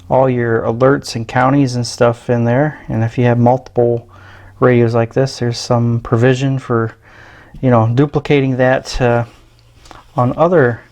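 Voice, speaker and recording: A man's voice: medium pitch, mellow. American man, casual review, noisy recording. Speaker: gadget reviewer. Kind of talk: casual unscripted product walkthrough. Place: small indoor room at a tabletop. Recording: clear background noise with clicks.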